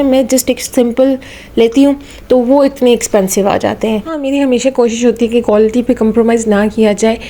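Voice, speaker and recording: A Pakistani woman speaks in a fairly high voice that is slightly off from a sore throat. She chats casually as a vlogger, slipping English phrases into her talk. She often repeats a phrase to drive it home. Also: warm tone. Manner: chatty, repeats phrases for emphasis. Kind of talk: casual vlog commentary with English phrases mixed in.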